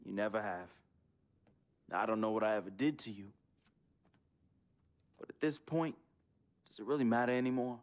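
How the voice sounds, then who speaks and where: An actor playing a basketball star's street-smart, laid-back friend in a video game drama, with slangy, casual delivery. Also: male voice, lowish voice, normal speed, long pauses, close to an everyday voice. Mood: conciliatory.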